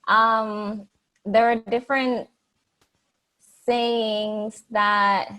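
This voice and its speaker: A woman's voice: fairly high pitch, level tone, extremely slow, pushed and bright, poor audio. A young woman from Jamaica, answering interview questions in a chatty way, with many fillers and restarts. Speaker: guest.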